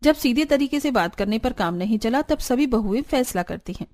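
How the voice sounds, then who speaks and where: A woman's voice: on the high side, animated, normal speed, darkish tone, dry recording. A woman reading scripted narration for a cartoon story.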